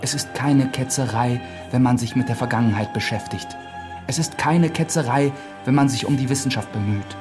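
Actor as earnest priest over prominent music. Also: male voice, medium pitch, normal speed, lightly acted. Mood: impassioned, defiant. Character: earnest young priest, defiant preacher of forbidden truth. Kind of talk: German radio drama acting. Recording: studio drama with prominent music behind.

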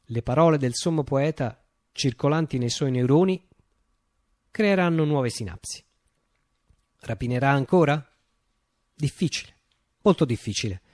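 A man reciting a theatrical monologue for radio, declaiming with dramatic pauses and emphatic phrasing. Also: mid-range voice, animated, darkish tone, no room sound.

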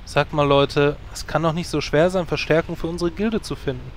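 A man's voice: fairly high pitch, fairly even intonation, moderate pace, very noisy, close to an everyday voice. A man playing a dry, sarcastic male druid who leads a guild, in a parody audio drama.

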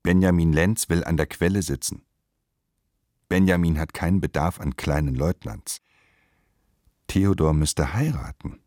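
A man with a very low voice reads literary narration for a radio drama. The sound is dry and close, and he delivers short statements in a clipped way. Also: a little slow, pauses often, a little mellow, no echo.

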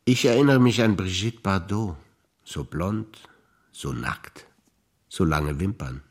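An older man performing scripted stage dialogue, measured and reflective, often sinking to hushed low phrases. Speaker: stage actor.

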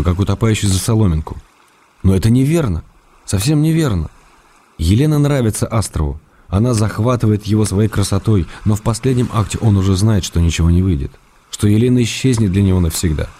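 A man playing an affectionate, gently ironic writer who reads his letters aloud in a radio drama. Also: lowish voice, a little hiss or hum, natural voice. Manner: tender, gently ironic, unhurried. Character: affectionate, teasing male writer writing letters. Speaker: reader of letters in a radio play.

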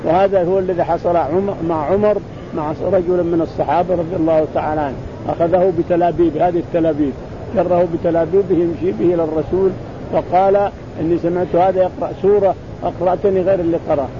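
A man explains a religious text in spoken commentary. His voice sits high and he talks quickly, often repeating and restarting phrases. The recording is muffled, with a loud, constant rumble behind him. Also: steady tone. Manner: repeats phrases, restarts words, acts out quoted exchanges. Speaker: teacher explaining hadith.

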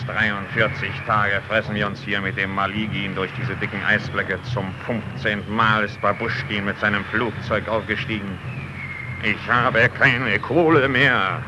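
An actor playing a weary, grave Soviet ship's captain in a declamatory vintage radio drama style. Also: male voice, on the low side, steady tone, measured pace, lightly acted. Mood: gruff, frustrated. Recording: noisy old radio play recording.

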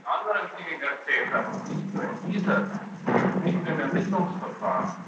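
Male lawyer, fairly high voice, probing persistently; far from the mic on noisy courtroom tape. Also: very animated, moderate pace, heavy reverb, dull audio. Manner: insistent, probing. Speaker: lawyer questioning a witness. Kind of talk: courtroom questioning.

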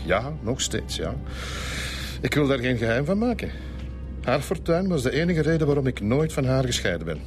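An actor playing a middle-aged Flemish brewery owner in a radio drama, expansive, frank and confiding. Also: male voice, medium pitch, very animated, normal speed, darkish tone, soft background music, close to an everyday voice. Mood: wistful, bitter. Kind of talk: Dutch-language radio drama acting.

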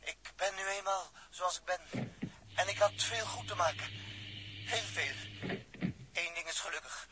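An actor playing a pensive, stoic astronaut in a 1960s radio drama, reflective and measured with theatrical delivery. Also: male voice, high-pitched, background noise. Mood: resigned.

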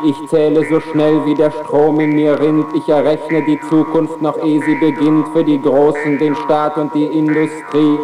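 An actor playing a boastful talking computer, mechanically reciting rhymes on a 1960s advertising record. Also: male voice, fairly high pitch, completely flat delivery, a little slow, hardly any pauses, reverberant, recorded from a distance, music in the background, lightly acted, rough recording.

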